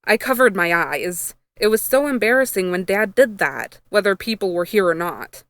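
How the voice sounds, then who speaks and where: A woman's voice: medium pitch, animated, moderate pace, a bit bright, smooth, no echo. A woman narrating a first-person story, reading from a script as she goes. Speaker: fan fiction reader.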